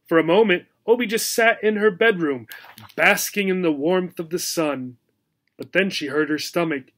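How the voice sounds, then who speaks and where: A man narrating a children's story as an audiobook reader, in an expressive storytelling manner. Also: sits high.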